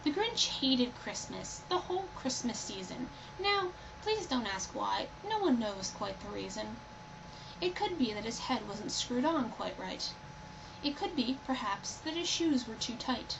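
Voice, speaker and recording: Young woman, very creaky voice, casual playful delivery, homemade computer recording, clear background noise. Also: fairly high pitch, sing-song, unhurried, highs cut off. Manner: informal, rambling, playful. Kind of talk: casual chat and storybook read-aloud. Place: indoors, recording on a personal computer. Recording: noisy home computer recording.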